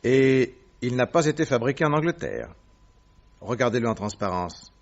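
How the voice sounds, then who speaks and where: A man acting a brilliant detective in an old radio play. His delivery swings widely in pitch as he lectures and probes his friend with cool logic. The sound is dull, like an aged broadcast. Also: expressive, normal speed, close to an everyday voice. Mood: didactic, keen. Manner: didactic, probing. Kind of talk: vintage French radio drama acting.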